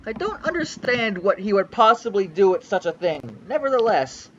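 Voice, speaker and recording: Young man, mock-epic storyteller, high pitch, very expressive. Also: normal speed, dull audio, a little hiss or hum. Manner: theatrical, sweeping storyteller delivery. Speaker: reader on a livestream. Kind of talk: mock-dramatic reading of a fanfic.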